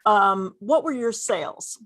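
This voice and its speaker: A woman's voice: medium pitch, animated, measured pace. A woman hosting an interview with effusive enthusiasm, often repeating phrases for emphasis. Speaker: host interviewing successful online sellers.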